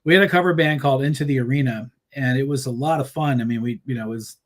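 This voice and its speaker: Rambling, animated man telling band stories. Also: mid-range voice, fast talker. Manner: rambling, many fillers and restarts; laughs easily, animated storytelling. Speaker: musician guest. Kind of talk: casual interview chat.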